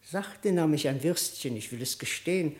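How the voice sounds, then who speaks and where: Man as a high-voiced, haughty tomcat. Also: lively intonation, moderate pace, darkish tone, natural voice. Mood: self-justifying. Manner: indignant, self-important. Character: haughty, angry tomcat courtier. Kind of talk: literary radio drama, verse declamation.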